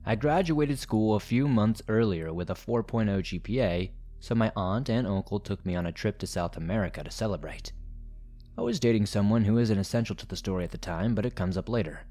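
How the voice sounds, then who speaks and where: A man reading first-person horror stories as a narrator, in a measured, deliberate storytelling manner. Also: fairly low pitch, mellow. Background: background music.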